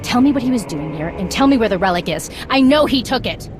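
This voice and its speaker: An actress playing a defiant female Jedi who speaks in a bitter, accusing way, with loud game music behind. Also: on the high side, animated, quick pace, natural voice. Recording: game soundtrack under voice.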